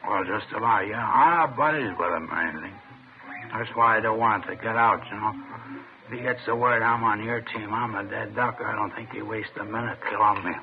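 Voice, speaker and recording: A man's voice: on the low side, fairly even intonation, brisk, close to an everyday voice. Small-time snitch for radio crime drama, played by a male actor: American man, slangy, ingratiating, rambling, on a thin, hissy old broadcast. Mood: chatty, eager. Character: male small-time police informant, ingratiating, needy racetrack hustler. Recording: tinny, noisy vintage radio recording.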